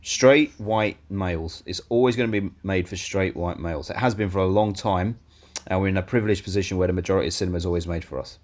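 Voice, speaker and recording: A young man co-hosting a casual film review podcast, blunt and teasing, swearing freely and a little hoarse. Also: deep voice, quick pace, a little mellow. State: losing his voice. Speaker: podcast co-host.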